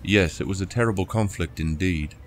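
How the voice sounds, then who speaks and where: A man's voice: deep voice, a little fast, a little hiss or hum, pitched above the performer's own voice, natural voice. A man voicing a weary, long-suffering husband and retired army officer in an audio story, dry and restrained.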